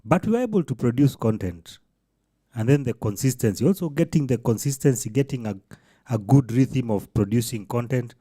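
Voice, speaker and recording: A man's voice: mid-range voice, animated, brisk, warm tone, husky, dry recording, studio quality. A man co-hosting a casual podcast, talking reflectively and mixing in Swahili phrases. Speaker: podcast co-host.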